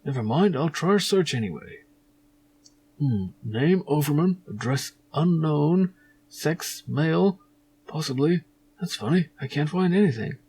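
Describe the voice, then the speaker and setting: A man's voice: fairly high pitch, expressive, measured pace, warm tone, slightly rough, pitched above the performer's own voice, heavily acted. A man voicing a matey, eager insurance salesman in an adventure game, tagging his lines with a questioning turn. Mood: officious, helpful. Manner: matey, tags sentences with questioning "yeah". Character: chatty, eager male insurance salesman named Billy Anker.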